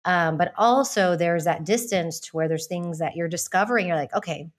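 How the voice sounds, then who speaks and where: A woman's voice: on the low side, moderate pace. A woman in her thirties from Texas, talking as a podcast guest in a candid, reflective way, laughing easily. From Texas, United States. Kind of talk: casual interview chat.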